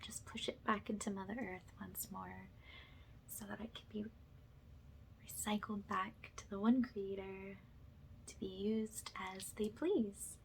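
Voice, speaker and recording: A woman speaking softly and breathily, often slipping into a whisper, gently reassuring in the style of a guided ASMR energy-healing session. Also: mid-range voice, steady tone, vocal fry, slight background noise, rough recording. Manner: gentle, slow, reassuring, affirming.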